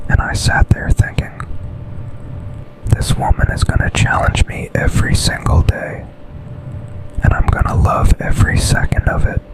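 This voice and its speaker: A man playing an intense, tender office boss and secret lover in a breathy, intimate whispered ASMR boyfriend roleplay. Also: lightly acted, rough recording. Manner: slow, intimate, deliberate delivery with pauses.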